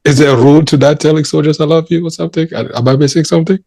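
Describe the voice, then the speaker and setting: A man's voice: on the high side, steady tone, racing, gravelly, mellow. A man co-hosting a podcast, chatting casually with a teasing, joking manner. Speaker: podcast co-host. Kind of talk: casual chat.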